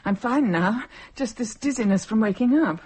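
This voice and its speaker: An actress playing a drowsy, dazed and puzzled woman in an audio drama, speaking vaguely and haltingly with slight irritability. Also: on the high side, expressive, darkish tone, breathy edge. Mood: dazed, dreamy.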